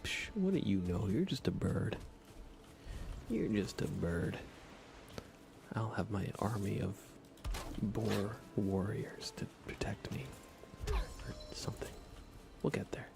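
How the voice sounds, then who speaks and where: A man giving hushed, soft-spoken ASMR gaming commentary as a live streamer, often dropping into a whisper. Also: lowish voice, lively intonation, slow pace, creaky, pauses often, noisy background. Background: music.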